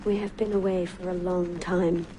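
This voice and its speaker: A woman's voice: fairly low pitch, flat delivery, moderate pace, background noise, close to an everyday voice. A woman playing a wise, steadfast wife of an assassin master in a video game, giving earnest, formal counsel with composure. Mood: calm, earnest. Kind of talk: dramatic video game cutscene acting. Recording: loud music over the dialogue.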